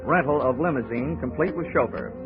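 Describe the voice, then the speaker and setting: An actor playing a wisecracking, unflappable investigator in a clipped, wry 1940s radio drama style. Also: male voice, medium pitch, lively intonation, brisk, close to an everyday voice. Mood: wry, declaiming. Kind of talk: 1940s radio acting, detective narration. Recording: muffled old radio recording, music behind.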